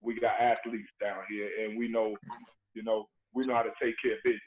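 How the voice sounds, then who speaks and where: A man, telling stories in a relaxed, earnest way with lots of "you know" fillers, heard over a remote call. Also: on the low side, a little fast. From Dublin, Georgia, USA. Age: late 30s. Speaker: guest, former basketball player. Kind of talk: casual storytelling conversation. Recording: tinny phone-like call line with faint noise.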